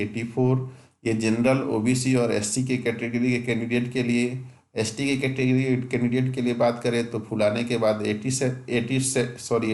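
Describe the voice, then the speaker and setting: A man's voice: medium pitch, darkish tone, reverberant. Methodical man explaining exam rules. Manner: methodical, reads out rules point by point, mixes English terms; self-corrects with small restarts. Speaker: exam coaching teacher. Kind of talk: explaining a job notification.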